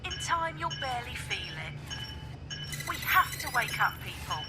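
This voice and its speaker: A defiant underground broadcaster from a video game. The voice is fairly high, wry and measured as it rallies listeners. Very loud music runs underneath it. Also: female voice, sing-song. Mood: wry, rallying. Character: defiant, eloquent female underground resistance broadcaster. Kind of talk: dramatic scripted monologue in a video game.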